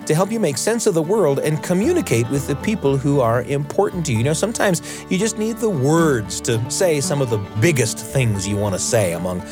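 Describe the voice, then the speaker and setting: A man hosting a storytelling radio show, delivering scripted introductions in an expressive, animated way. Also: fairly high pitch, a little fast, few pauses. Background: faint music.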